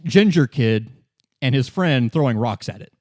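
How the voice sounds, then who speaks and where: A man's voice: medium pitch, animated, moderate pace, darkish tone, no echo, clean studio sound. A man running a tabletop game as game master, improvising his narration in a hesitant, conversational way.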